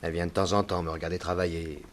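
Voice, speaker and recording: A man's voice: sits low, flat delivery, fast, slight background noise, close to an everyday voice. A man playing a defensive, talkative young potter in a 1960s French radio drama. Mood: chatty. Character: young male potter, defensive, chatty, cheeky son.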